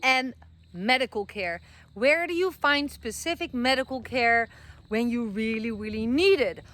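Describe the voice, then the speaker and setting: A woman's voice: on the high side, expressive, unhurried, fairly bright tone. Chatty Dutch woman vlogging outdoors. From Netherlands. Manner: chatty, self-correcting, many fillers. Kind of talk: casual talk to camera. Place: outdoors, garden with trees being planted.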